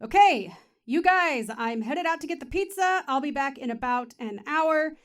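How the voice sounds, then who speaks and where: An upbeat, caring mom in an audio drama. Her voice is high and closely recorded with a dry sound, and she talks in a chatty, reassuring way. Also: animated, moderate pace, no room sound. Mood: cheerful. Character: caring, upbeat middle-aged mother of teenagers. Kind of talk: naturalistic audio drama acting.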